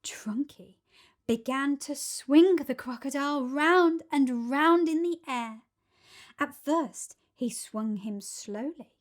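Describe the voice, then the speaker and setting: High-voiced, unhurried woman storyteller. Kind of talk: expressive children's story narration.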